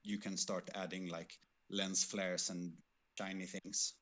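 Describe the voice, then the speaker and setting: A man giving measured, methodical explanations as a game programmer on a livestream. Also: sits low, level tone, sharp tone. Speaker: game programmer on a development team.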